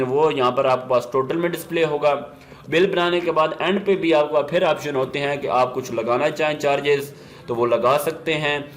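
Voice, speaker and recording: A man with a Pakistani accent narrates a software tutorial in an explanatory way, at a medium pitch. English business terms are mixed into his speech. There is some noise in the recording behind his voice. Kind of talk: instructional software demo narration.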